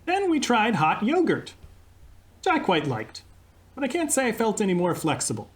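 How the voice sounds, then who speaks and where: A man's voice: sits high, wildly swooping pitch, normal speed. An actor playing an eager male radio co-host who tells his story in puns. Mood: cheerful. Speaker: co-host of a radio comedy sketch. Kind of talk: live radio comedy acting.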